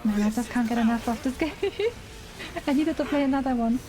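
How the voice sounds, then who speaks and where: High-voiced German-accented woman streaming. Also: a little fast, low-quality recording. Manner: giggly, enthusiastic, informal. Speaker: live game streamer. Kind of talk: casual chatty livestream commentary. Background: music.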